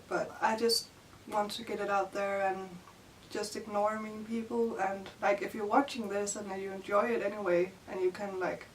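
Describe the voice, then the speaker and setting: A young Danish woman talks in a casual, hesitant vlog, leaning on "like" and "you know" as she goes. Her voice sits at an ordinary pitch. It is caught from a little way off the mic, with a faint hiss underneath. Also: recorded from a distance, rough recording. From Denmark. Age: young adult. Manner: shy, hesitant, many fillers like "like" and "you know". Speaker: book vlogger. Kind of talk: casual vlog answering tag questions.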